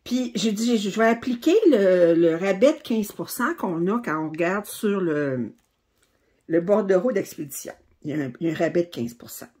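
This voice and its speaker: A woman's voice: lowish voice, lively intonation, moderate pace, warm tone, husky. A middle-aged woman with a Québécois French accent, hosting a craft channel and chatting casually to camera with many fillers and restarts. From Quebec, Canada.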